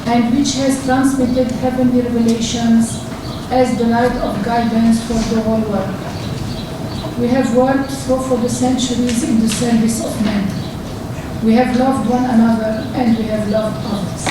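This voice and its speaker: A woman's voice: a little high, steady tone, a little slow. A woman reading out a formal declaration in a conference hall. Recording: very echoey, distant, noisy room recording.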